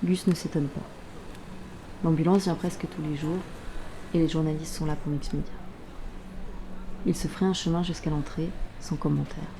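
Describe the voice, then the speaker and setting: A woman narrating a scripted audio drama in a deliberate, wry storytelling manner. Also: low voice, fairly even intonation, background noise.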